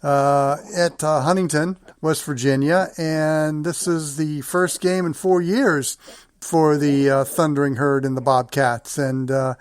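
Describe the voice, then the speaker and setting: A man's voice: on the high side, fairly even intonation, a little slow, clear, resonant tone, no echo, studio quality. A man hosting a sports show, running through matchups and results in conversation with a guest.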